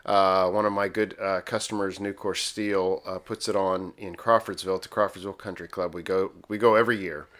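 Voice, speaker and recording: A man's voice: sits low, fairly even intonation, a little fast, few pauses, a bit bright. A man of about fifty chatting casually as a co-host, with a self-deprecating way of talking. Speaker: podcast co-host. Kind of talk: casual chat.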